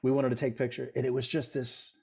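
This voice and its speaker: A middle-aged man talks as an interview guest, voice at an ordinary middle pitch. He rambles through his stories with frequent restarts. He pokes fun at himself as he goes. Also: flat delivery, brisk, vocal fry, a little mellow, breathy edge. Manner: rambling, self-deprecating storyteller with frequent restarts. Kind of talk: casual interview chat.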